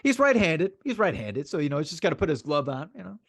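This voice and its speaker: Guy doing casual sports podcast talk, kinda higher voice, dry close sound, hammers phrases twice. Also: big pitch swings, fast, vocal fry, dry recording. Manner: emphatic, repeats phrases for effect.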